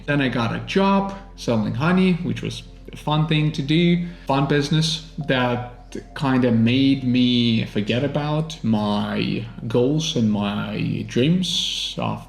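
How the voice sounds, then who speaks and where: Young male teacher, Russian native with American accent, unhurried reflective delivery, roomy sound. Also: medium pitch. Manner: calm, reflective, addresses viewers as guys. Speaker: English teacher and channel host. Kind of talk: personal storytelling vlog.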